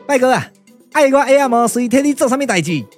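A man's voice: extremely high pitch, lively intonation, quick pace, soft background music. Man voicing a cheeky, grumbling Taiwanese comic magician. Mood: eager boasting. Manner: folksy, exclamatory, clownish. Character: cheeky, boastful, grumbling comic immortal magician, reluctant sidekick. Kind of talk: Taiwanese puppet radio drama.

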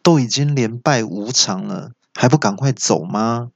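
Calm teasing nun in a man's plain voice, mid pitch, dry sound. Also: measured pace, vocal fry, dry recording, highs cut off.

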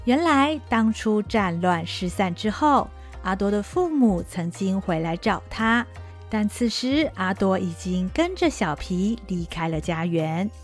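A woman narrating an audio drama story in a gentle, expressive way. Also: mid-range voice, a little slow, faint music behind.

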